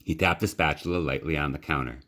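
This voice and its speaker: Low-voiced man narrating a storybook. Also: quick pace, pushed and bright. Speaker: children's librarian reading aloud.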